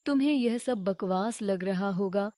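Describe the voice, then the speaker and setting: Woman as exasperated village wife, mid pitch, slightly dark tone, reproachful, urging, cartoon tale. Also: measured pace, no echo, close to an everyday voice. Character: exasperated, scheming wife of a gambler.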